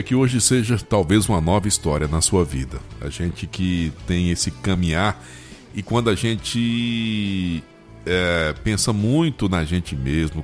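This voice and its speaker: A Brazilian man hosting a radio program, closing with a short reflection and announcer sign-off. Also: low voice. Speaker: radio host.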